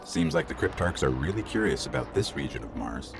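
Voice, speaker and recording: An actor playing an earnest, wry robot guide, giving matter-of-fact mission briefings in a video game. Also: male voice, extremely low pitch, wildly swooping pitch, fairly quick, vocal fry, heavily acted. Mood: determined. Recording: music behind.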